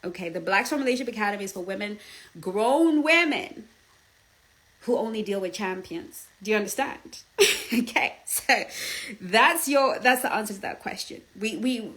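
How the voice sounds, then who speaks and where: A woman's voice: mid-range voice, normal speed, low-quality recording. A woman from Zimbabwe with a Zimbabwean accent, a relationship coach giving emphatic casual advice and often checking in with her listeners. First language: Shona. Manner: emphatic, lively, laughs often, repeats "okay?" and "do you understand?".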